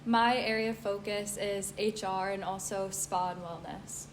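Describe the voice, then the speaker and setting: A woman's voice: medium pitch, steady tone, a little slow, background noise. A young woman student speaking casually on a panel about her studies. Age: young adult. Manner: casual, conversational.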